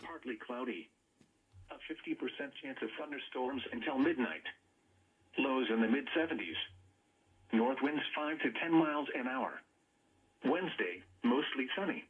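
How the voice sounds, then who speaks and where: Male weather announcer through a radio, thin band-limited sound, husky middle register, scripted forecast read. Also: medium pitch, lively intonation, normal speed, long pauses, narrow, tinny audio.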